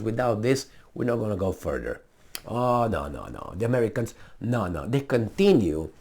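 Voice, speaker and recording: A man with a fairly low voice and a Romanian accent talks through the news as a commentator. His delivery is casual and unscripted, blunt and emphatic. He rambles into asides and repeats phrases to drive them in. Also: darkish tone. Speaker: political commentator.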